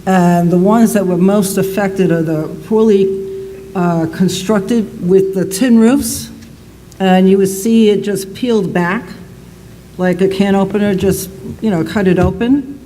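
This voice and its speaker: A loud, plainspoken man answering at a panel discussion, talking in a rambling way into a panel microphone at a public event. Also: high-pitched, a little slow. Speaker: panelist, college president. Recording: roomy hall reverb, faint noise.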